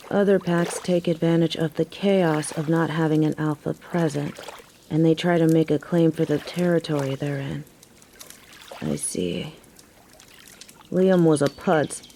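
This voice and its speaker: A young biker girlfriend, acted by a woman for a roleplay audio drama, speaks in a mellow, lowish voice. She is sardonic and teasing yet caring, with loose, slangy phrasing. A faint noise sits behind her. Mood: somber. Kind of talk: scripted roleplay audio drama, intimate one-sided conversation.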